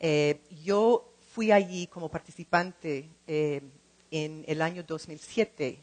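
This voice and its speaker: A middle-aged woman speaking in a second language as she gives an informal talk, halting and repeating words as she searches for the next one. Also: deep voice, a little mellow. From lives in California. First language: not Spanish, speaks it as a second language. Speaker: translator and program co-director.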